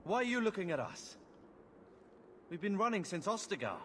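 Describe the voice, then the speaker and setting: Blunt young man, lively higher pitch. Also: moderate pace, slight background noise. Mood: impatient. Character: blunt, irritable young brother, fantasy refugee. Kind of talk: video game cutscene acting.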